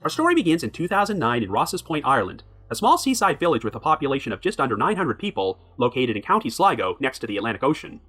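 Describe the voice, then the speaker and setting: Lively, fast-talking male narrator. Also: medium pitch. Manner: reads a prepared script in an even, measured way. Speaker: podcast host. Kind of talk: scripted true crime narration.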